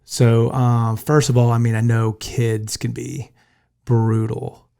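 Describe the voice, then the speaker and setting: This is an American man hosting a podcast interview. He talks in a friendly, easygoing way and often jokes. His voice sits at an ordinary middle pitch. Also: flat delivery, slow, creaky, mellow, smooth. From United States. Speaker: podcast host. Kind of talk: casual interview chat.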